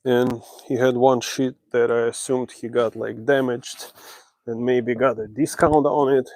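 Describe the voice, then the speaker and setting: A man from Ukraine, a renovation contractor, explaining his work step by step on a job-site livestream, in slow, halting speech. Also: mid-range voice, flat delivery, darkish tone.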